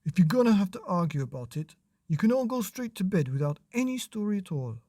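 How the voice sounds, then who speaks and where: Casting: tired storybook father played by a male narrator, mild, reluctant, lively pitch, slightly husky. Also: a little fast, dry recording, studio quality. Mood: exasperated. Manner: weary, gently reluctant.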